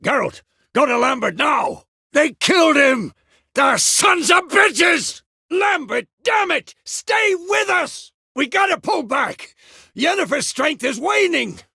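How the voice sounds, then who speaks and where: A man playing a gruff, weathered old monster-hunter mentor in a video game, terse and dry, with a gravelly voice. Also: sits low, very animated, measured pace, a bit bright, dry recording, exaggerated voice. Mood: urgent shouting. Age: older. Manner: terse, clipped phrases, dry. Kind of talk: scripted video game character dialogue.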